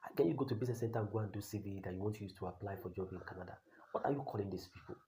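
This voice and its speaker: A man's voice: on the low side, rapid-fire, dark tone, a little hiss or hum. A Nigerian man with a Nigerian accent giving a blunt, repetitive tutorial as an online career coach. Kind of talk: talking-head tutorial.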